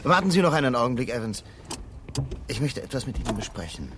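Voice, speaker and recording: An actor playing a smooth, calculating company executive in a 1970s German radio crime drama, talking in a measured, persuasive way. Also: male voice, mid-range voice, very animated, background noise. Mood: insinuating. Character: smooth, calculating male company vice president, tempter.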